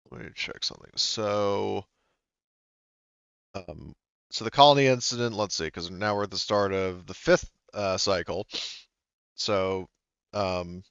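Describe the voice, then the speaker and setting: A man with a very low, smooth, resonant voice runs a tabletop game, rambling through his notes with plenty of fillers and restarts. The sound is close and dry over a home computer mic on a voice call. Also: a bit bright, no echo, highs cut off. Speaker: game master.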